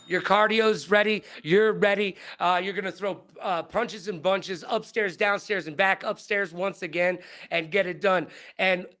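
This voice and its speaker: A male interviewer with a notably high voice and a bright, punchy tone. He hosts a casual sports chat and talks in chatty, repetitive bursts. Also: fairly even intonation, unhurried, hardly any pauses. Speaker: sports interview show host.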